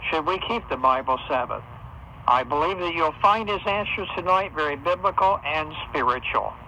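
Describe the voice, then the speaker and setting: Bright-voiced radio host, noisy shortwave. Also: male voice, a little high, animated, moderate pace. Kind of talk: scripted religious radio introduction.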